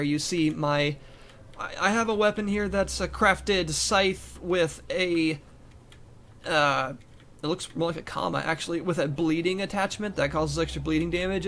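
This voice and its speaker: A man giving casual gameplay commentary for a game review, his voice a little raspy and strained, talking in a loose, rambling way. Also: high-pitched, a bit bright, slight background noise. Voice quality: rambling, with restarts and fillers.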